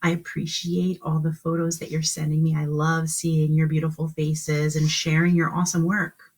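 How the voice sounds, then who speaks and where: Woman teaching young kids, lower-pitched voice. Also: flat delivery, moderate pace, darkish tone. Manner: encouraging, addresses children as friends, simple questions. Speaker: kindergarten teacher. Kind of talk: live-streamed lesson for young children.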